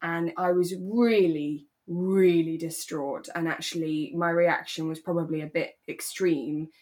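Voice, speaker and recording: A woman co-hosting a podcast in relaxed, reflective, conversational chat. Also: on the low side, measured pace. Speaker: podcast co-host. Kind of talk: relaxed casual chat about a radio drama.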